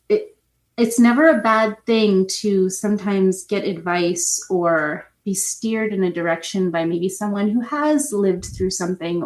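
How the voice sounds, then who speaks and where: A woman author answering podcast interview questions thoughtfully, with frequent restarts and hedges. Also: mid-range voice. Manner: thoughtful, hedging, many restarts. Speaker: author guest.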